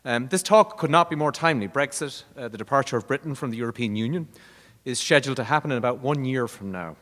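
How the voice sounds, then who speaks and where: A man's voice: mid-range voice, animated, fairly bright tone. Irish man introducing in a reverberant hall. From Ireland. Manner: measured, with frequent fillers. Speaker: university dean introducing a speaker. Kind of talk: formal introductory remarks. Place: university lecture hall. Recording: long reverb of a lecture hall.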